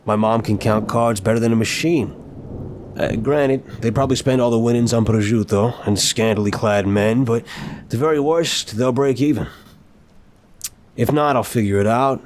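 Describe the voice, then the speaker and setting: A man playing a suave, playful young mafia boss in an intimate audio roleplay, teasing and smooth-talking, leaning on words for emphasis. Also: mid-range voice, normal speed, a little mellow, a little hiss or hum, lightly acted. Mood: smug, teasing.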